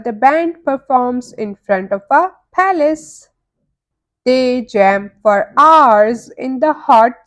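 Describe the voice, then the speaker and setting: A woman narrating a children's storybook in an expressive, deliberate way for young listeners. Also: a little high. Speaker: children's read-aloud narrator.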